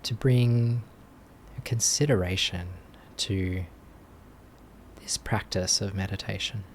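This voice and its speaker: A man leading a guided meditation as a teacher, speaking gently in short phrases with long pauses. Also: on the low side, a little hiss or hum. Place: live online group session.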